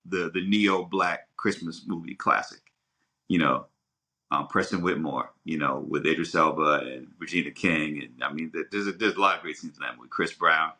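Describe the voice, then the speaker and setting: A man's voice: extremely low pitch, moderate pace, sharp tone. A middle-aged American man co-hosting a podcast in a casual, rambling way, thinking aloud as he goes. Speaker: podcast host.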